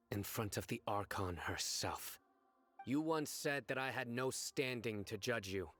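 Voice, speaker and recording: Stoic righteous judge, lowish voice, grave, deliberate, commanding, dramatic anime game acting. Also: male voice, fairly bright tone, heavily acted. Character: stern, righteous, stoic male judge and enforcer.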